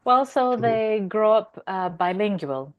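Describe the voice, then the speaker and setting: A woman from Brazil in a casual podcast conversation, telling stories in an animated way and acting out quotes. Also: medium pitch, slow, highs cut off. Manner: animated storyteller who acts out quotes.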